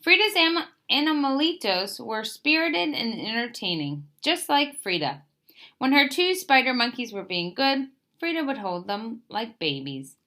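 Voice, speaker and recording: Female storyteller for picture book read-aloud. Bright tone, highly varied pitch, expressive phrasing. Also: measured pace. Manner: expressive, emphatic phrase openings that settle at sentence ends.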